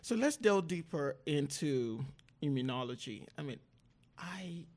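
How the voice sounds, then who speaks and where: A woman podcast host chatting casually with a guest, asking questions in an informal, halting way. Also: deep voice, animated, a little mellow. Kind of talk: casual interview chat.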